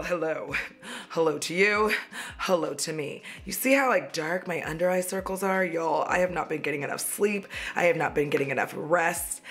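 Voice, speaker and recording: A young woman with a drawl talks through a makeup session at home, rambling happily and breaking into laughs. Her voice is a little hoarse, as she is losing it, and she keeps up a self-deprecating, casual flow. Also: fairly low pitch, a bit bright. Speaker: beauty vlogger. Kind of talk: casual chat while doing makeup. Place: at home, indoors.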